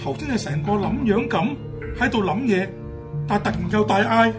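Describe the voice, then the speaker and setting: A timid, easily scared big young man, acted by a man in a Hong Kong Cantonese radio play. The voice is pitched very high and nervy, with music behind it. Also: expressive, moderate pace. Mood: nervous. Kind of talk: radio drama acting.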